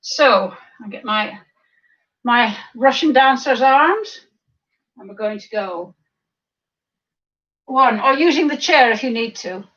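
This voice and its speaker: A woman over fifty with a croaky voice, leading a seated exercise class, counting reps and adding chatty asides. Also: a little high, expressive, slow pace, pauses often, a bit bright. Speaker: fitness instructor. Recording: distant mic, dull lo-fi sound.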